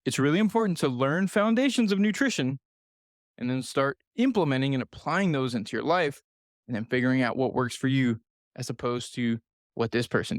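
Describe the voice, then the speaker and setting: A man's voice: medium pitch, no room sound, studio quality. A man in his thirties hosting a solo nutrition podcast, talking straight to the listener in an earnest, repetitive coaching manner. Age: 30s. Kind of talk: solo podcast advice talk.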